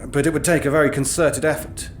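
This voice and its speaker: A man's voice: a little high, fairly even intonation, quick pace, soft background music, lightly acted. An actor playing a cautious male mayor in an audio drama, formal and deferential.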